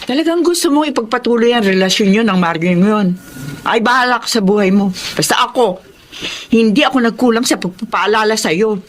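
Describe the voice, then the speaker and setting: A woman playing a worried, nosy friend in a radio drama, admonishing and expressive. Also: a little high, a little fast, a little hiss or hum, natural voice. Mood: scolding, worried.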